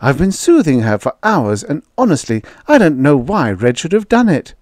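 A flustered, fussy housewife rattles on in a raised, fluttering voice, as a male reader puts her on. She is hurried and fretful, and her pitch climbs and dips all over. The sound is close and dry. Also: big pitch swings, a little mellow, dry recording. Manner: hurried, fretful, breathless chatter. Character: fussy anxious housewife, grown woman. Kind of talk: audiobook character voice.

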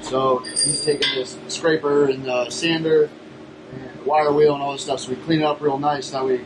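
A man's voice: medium pitch, level tone, moderate pace. An American man in his thirties chatting casually and upbeat as a vlog host in a large workshop, informal and talkative. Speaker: shop vlog host, IT worker. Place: large metal-roofed workshop. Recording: noisy workshop with clear background noise.

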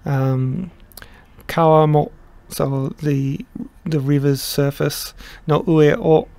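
A middle-aged man explaining his song translation in a casual, halting way, with many pauses and restarts. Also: a little high, fairly even intonation, gravelly, warm tone, dry recording. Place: small room indoors.

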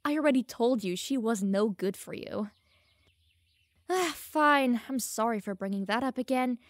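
A woman's voice: a little high, normal speed, no room sound, close to an everyday voice. A young woman playing a teasing, smug, secretly lovestruck elf mage in an audio roleplay, playful and easily flustered. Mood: playful, defensive. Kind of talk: audio roleplay addressed to the listener.

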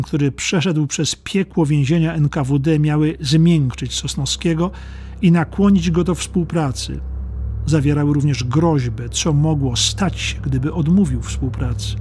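A man narrating a historical audiobook from a script in a deliberate, dramatic storytelling way. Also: on the high side, a little mellow.

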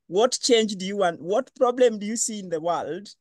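A man hosting an online panel discussion, lively and questioning. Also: high-pitched, moderate pace, no echo. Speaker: webinar host.

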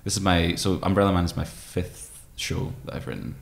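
Male interview guest, thirties, very low voice with vocal fry, tentative and reflective. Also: little pitch movement. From Scottish Highlands. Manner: hesitant, thoughtful, halting. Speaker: guest, poet and musician. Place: room with tall windows, indoors. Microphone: microphone on desk stand.

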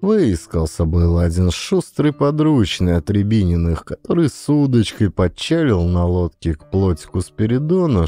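A man reading classic prose as an audiobook narrator, in an expressive, theatrical, folksy storytelling style. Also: sits low, no echo.

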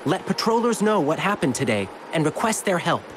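A man's voice: a little high, big pitch swings, fairly quick. A man playing an earnest, protective young hero in a video game, with a polite, reassuring way of talking. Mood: urgent, earnest. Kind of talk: scripted video game voice acting. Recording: game music under the voice. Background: background music.

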